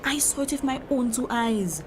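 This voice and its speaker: A woman acts out a troubled grown daughter in a drama, with a fairly high voice. She is earnest, confiding and hurt, as if she feels trapped. The sound is a noisy location recording with clear background ambience. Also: expressive, moderate pace, lightly acted. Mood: distressed. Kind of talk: dramatic acted dialogue.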